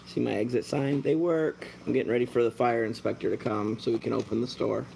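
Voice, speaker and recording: A man's voice: mid-range voice, brisk, creaky, a little hiss or hum, rough recording. A man in his thirties chatting casually on a live stream while he works in his shop, rambling with lots of fillers. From born in Germany, raised American. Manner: rambling, relaxed, talks while working, many fillers. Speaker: mantis breeder and shop owner. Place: dim indoor shop at night.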